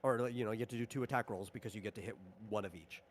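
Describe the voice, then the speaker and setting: A man's voice: lowish voice, racing. A man acting as game master, talking through the rules and equipment of a tabletop game in an unscripted way.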